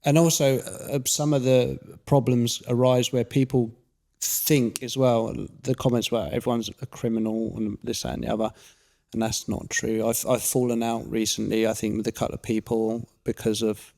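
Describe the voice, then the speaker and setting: A man's voice: mid-range voice, level tone, moderate pace, dark tone. Chatty, rambling man. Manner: rambling, chatty, many fillers and restarts. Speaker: podcast host. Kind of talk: casual interview chat.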